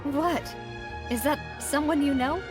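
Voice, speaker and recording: A woman playing a poised, determined young lady investigator in a video game, composed and expressive. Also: high voice, measured pace, over music. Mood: surprised.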